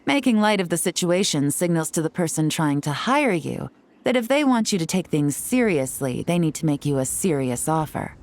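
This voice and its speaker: A woman playing a cool, shrewd young intelligence agent in a video game, speaking in a measured, knowing, composed way. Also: sits low, very animated, darkish tone. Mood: amused, wry. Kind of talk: video game voice acting, story exposition.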